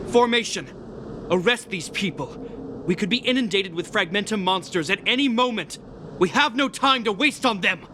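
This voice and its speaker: An actor playing a stern, dutiful young military captain in a video game cutscene, formal, righteous and emphatic. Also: male voice, high voice, noisy background. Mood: commanding.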